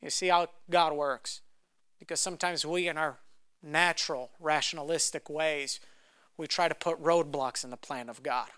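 A young man whose first language is Romanian, preaching a sermon and telling a personal story, often restarting on repeated words as he builds to loud, fervent passages. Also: a little high, fairly even intonation, moderate pace, smooth, dry recording, studio quality.

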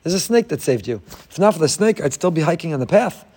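A man's voice: a little high, fast, breathy edge. A man giving a Torah lesson, telling the story with energy, acting out the people he quotes and mixing Hebrew verses into his talk. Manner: animated storytelling, acts out quoted figures. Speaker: Torah teacher.

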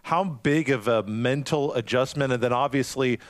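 A man's voice: medium pitch, moderate pace, dry recording. A man hosting an interview, asking long, rambling questions with self-deprecating asides. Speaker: podcast host. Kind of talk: interviewing a guest.

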